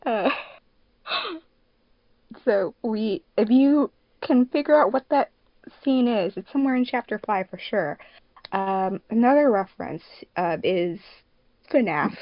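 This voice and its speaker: A woman's voice: on the high side, animated, slow pace, dry recording. A woman chats casually as a podcast co-host. Her manner is informal and giggly, full of slang. The sound is dry and a little dull, like a remote voice call. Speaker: podcast co-host, fandub production crew member and editor.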